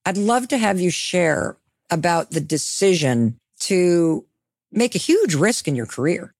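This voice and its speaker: A woman's voice: on the low side, animated, unhurried, darkish tone, no room sound, studio quality. A woman hosting an interview, asking probing questions in an earnest, emphatic way. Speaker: podcast host, interviewer.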